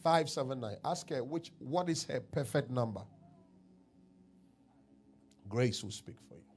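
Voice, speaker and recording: A Ghanaian-accented man preaching as a prophet in a church, his voice sitting at an ordinary middle pitch. He takes his time, stretching his declarations out unhurriedly before they rise into loud shouts. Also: lively intonation. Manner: slow drawn-out declarations that swell into loud shouts. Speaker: pastor, self-styled prophet. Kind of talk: prophetic preaching and praying over people. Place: church service.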